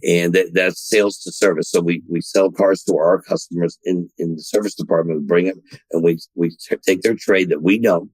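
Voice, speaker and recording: A man's voice: low voice, fairly even intonation, a little fast, a little mellow, slightly rough, no room sound, poor audio. A man being interviewed on a podcast about running a car dealership, talking in bursts with many restarts and repeated words. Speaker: dealership general manager, guest.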